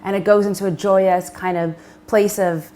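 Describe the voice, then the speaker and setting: A woman singer-songwriter from Toronto, a guest who talks in an animated, enthusiastic way. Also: medium pitch, moderate pace. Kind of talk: casual interview chat.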